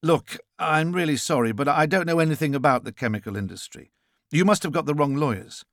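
A man's voice: on the high side, expressive, fairly quick, no echo, studio quality, pitched above the performer's own voice. A man playing a reluctant, sceptical lawyer in an audiobook, polite and hesitant. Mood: puzzled, sceptical. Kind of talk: audiobook character dialogue.

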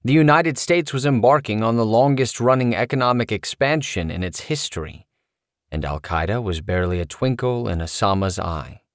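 Middle-aged male memoir narrator, expressive, swoopy delivery, dead-dry sound. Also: fairly low pitch, moderate pace, no room sound. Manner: animated, expressive storytelling.

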